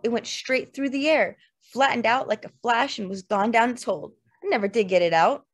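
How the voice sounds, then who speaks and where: A woman voicing a loud, drunk, volatile man in dramatized audiobook dialogue. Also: on the high side, moderate pace, pitched above the performer's own voice, natural voice. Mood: boastful.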